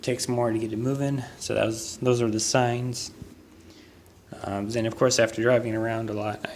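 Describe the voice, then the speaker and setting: A man talking casually through a car repair log in a monotone, hesitant way. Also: medium pitch, normal speed. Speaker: car owner narrating his own repair log. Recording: noticeable background noise.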